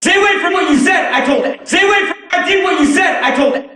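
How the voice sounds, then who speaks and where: An actor playing a defiant, paranoid underground broadcaster in a video game, ranting sarcastically and indignantly in colloquial American English with an American accent. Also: male voice, very high voice, fast, pushed and bright. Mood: alarmed, panicked. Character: defiant, paranoid pirate radio agitator, adult man. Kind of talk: impassioned pirate broadcast rant.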